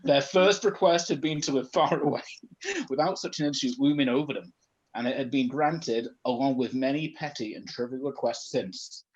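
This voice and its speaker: A man's voice: medium pitch, normal speed, breathy edge, highs cut off. A man reading a comic story aloud live, playful and self-mocking, often breaking off into chatty asides. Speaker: comedy performer reading fan fiction aloud.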